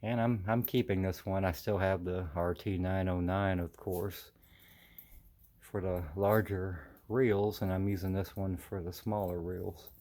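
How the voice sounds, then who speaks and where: A man with an American accent chatting casually and haltingly for a video, his articulation a little slurred from missing teeth. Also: low voice, little pitch movement, mellow. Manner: hesitant, halting, folksy. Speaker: vintage audio collector presenting his channel. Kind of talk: casual unscripted video chat.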